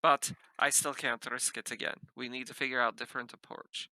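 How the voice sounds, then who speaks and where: A man's voice: medium pitch, flat delivery, fairly quick, pushed and bright, no echo, low-quality recording. A man playing a tabletop roleplaying game, chatting casually and speaking bluntly with swearing, sometimes in character.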